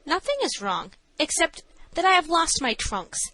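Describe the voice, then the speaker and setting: A woman's voice: high-pitched, very animated, normal speed, bright, forceful tone, no room sound, pitched above the performer's own voice, full character voice. A woman voicing a stately, stubborn young woman feigning madness in an audiobook, haughty and insistent. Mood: stately, indignant.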